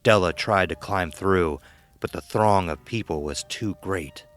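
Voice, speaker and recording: A man narrating a scripted horror story in a measured, dramatic, suspenseful way. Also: sits low, soft background music.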